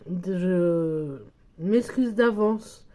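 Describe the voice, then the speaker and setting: Slow, mellow-voiced French woman with a cold. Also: lowish voice. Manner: hesitant, many fillers and self-corrections, counts money aloud. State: has a cold. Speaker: budgeting vlogger. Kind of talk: casual talk while filling budget envelopes.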